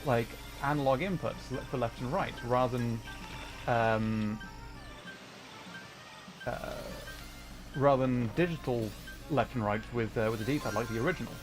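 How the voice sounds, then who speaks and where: A man's voice: mid-range voice, measured pace, pauses often, rough recording. A man giving casual gaming livestream commentary, rambling and thinking aloud as he plays. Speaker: livestream host, gamer. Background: music.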